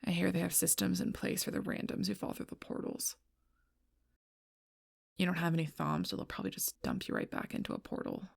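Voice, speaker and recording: A woman playing a gruff, stubborn, protective female alpha werewolf in an intense audio roleplay, blunt and often hushed, with a husky voice. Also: on the low side, wildly swooping pitch, fairly quick, no room sound, clean studio sound, exaggerated voice. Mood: hushed, grim. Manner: intense, blunt, swearing, often dropping to whispers.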